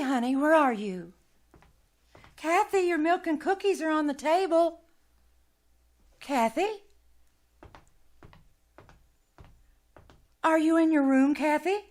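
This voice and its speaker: A woman's voice: very high-pitched, fairly bright tone, exaggerated voice. A woman acting in a live old-time radio drama as an anxious, distraught woman searching for a missing little girl. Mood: worried, calling. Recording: live stage with music underneath.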